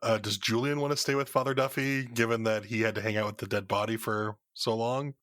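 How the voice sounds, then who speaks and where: A man's voice: fairly low pitch, steady tone, a little fast, fairly bright tone, dry recording, clean studio sound. A man chatting casually as a player in a tabletop role-playing game. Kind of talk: casual chat.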